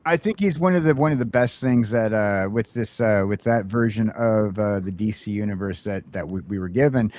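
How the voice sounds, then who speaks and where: A man hosting a casual morning chat show, rambling through geeky talk with lots of fillers and excited jumps in pitch. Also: normal speed, few pauses.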